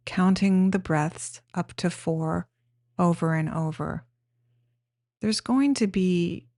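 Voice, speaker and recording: A woman leading a guided meditation, giving gentle, plain-spoken instructions in an unhurried way with pauses. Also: on the low side, dry recording, clean studio sound.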